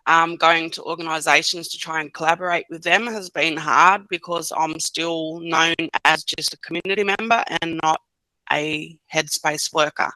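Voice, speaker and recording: A woman's voice: sits low, fairly even intonation, normal speed, pushed and bright, no room sound, low-quality recording. A woman in her thirties, a podcast guest giving short, hesitant answers with many fillers. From Australia. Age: 30s. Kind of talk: casual podcast interview.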